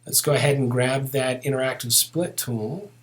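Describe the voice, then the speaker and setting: A man teaching software in a casual, unscripted way, thinking aloud as he walks through each step. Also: mid-range voice, normal speed. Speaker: software tutorial instructor. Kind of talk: narrated step-by-step screen tutorial, unscripted.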